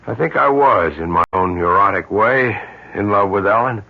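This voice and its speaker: Deep, husky, sombre middle-aged doctor. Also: male voice. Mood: sober, measured. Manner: halting, sombre. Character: haunted, self-reproachful middle-aged doctor. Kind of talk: old-time radio drama acting. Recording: muffled old radio recording.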